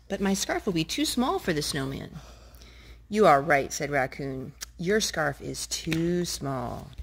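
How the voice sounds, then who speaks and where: A woman's voice: mid-range voice, vocal fry, slightly rough. Woman reading a picture book aloud. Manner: gentle, animated read-aloud voice, talking to the children between pages. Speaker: storytime reader.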